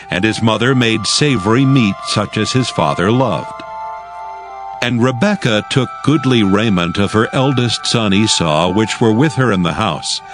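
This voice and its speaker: A man giving solemn, scripted narration of scripture as the narrator of an audio drama. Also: mid-range voice.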